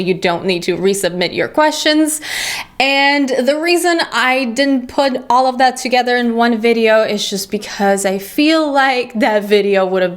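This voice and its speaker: A young woman from Ukraine whose first language is Russian, chatting to viewers as a YouTube host in a Q&A vlog. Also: on the high side.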